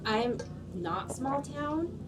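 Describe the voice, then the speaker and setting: Female audience member, from a small California town, halting and rambling, heard from a distance in a noisy hall. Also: high-pitched, lively intonation. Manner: halting, self-conscious, rambling with fillers. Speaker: audience member asking a question. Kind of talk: live audience question.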